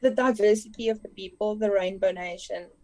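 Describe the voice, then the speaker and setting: A woman's voice: mid-range voice, a little fast, darkish tone, low-quality recording. A native Afrikaans-speaking woman with a South African accent, answering casually as a podcast guest. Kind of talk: casual interview chat.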